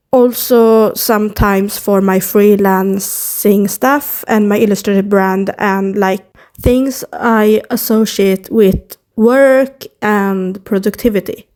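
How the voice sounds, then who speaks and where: A woman's voice: mid-range voice, a little mellow. A young woman from Sweden with a Swedish accent, talking casually to camera in a hesitant way with many fillers, recorded on a device mic with no external microphone. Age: young adult. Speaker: YouTuber. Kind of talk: casual vlog talk to camera.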